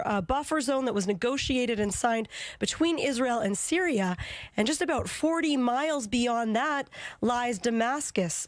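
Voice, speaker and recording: A woman news reporter delivering a measured field report, speaking outdoors near a village border fence. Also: high voice, dry recording.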